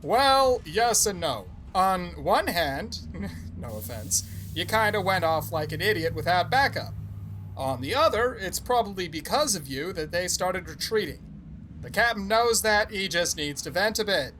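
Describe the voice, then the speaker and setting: A man's voice: high-pitched, lively intonation, measured pace, fairly bright tone. A man playing an easygoing, reassuring team leader in an audio drama. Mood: reassuring, casual. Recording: faint background noise and ambience.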